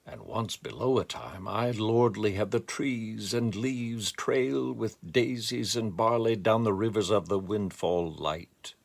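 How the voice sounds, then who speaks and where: A man with a gravelly voice speaking in a deliberate, measured way, as a poetry reader reflecting and reciting expressively. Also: fairly low pitch. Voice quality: gravelly, creaky voice.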